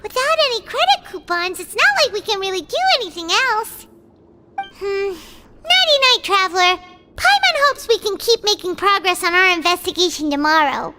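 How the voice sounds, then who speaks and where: Squeaky little fairy girl from a game, super high and swoopy, bubbly third-person chatter. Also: expressive, normal speed. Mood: sleepy. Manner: talks about herself in third person, bubbly. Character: tiny chirpy fairy-like girl companion. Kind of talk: video game voice acting. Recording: game audio with loud background noise.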